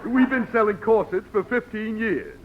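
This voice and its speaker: A man's voice: sits high, lively intonation, moderate pace, over a noisy crowd. An actor playing a blustery male company president in a 1950s radio comedy. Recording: noisy, muffled old radio recording.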